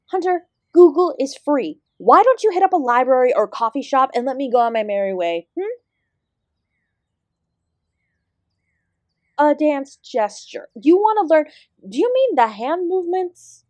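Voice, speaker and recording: A woman's voice: sits high, sing-song, normal speed, a little mellow, dry recording. A woman playing an ancient celestial dance teacher in an audio roleplay, sarcastic and exasperated, speaking with sardonic, sweary emphasis. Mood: weary, snarky. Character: ancient female apsara dance guru, sarcastic, exasperated, fiercely independent. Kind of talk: scripted audio roleplay addressed to the listener.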